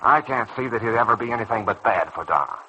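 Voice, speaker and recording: A man's voice: mid-range voice, expressive, fast talker, pushed and bright. A man acting in a vintage radio soap as a stubborn, judgmental young doctor, insistent and defensive. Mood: doubtful, stubborn. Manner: insistent, earnest, defensive delivery. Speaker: radio serial actor. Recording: dull, noisy old radio recording.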